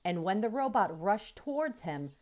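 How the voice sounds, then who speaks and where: A woman reading a children's chapter book aloud in an expressive, lively way. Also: medium pitch, normal speed. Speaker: storyteller. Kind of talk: children's audiobook read-aloud.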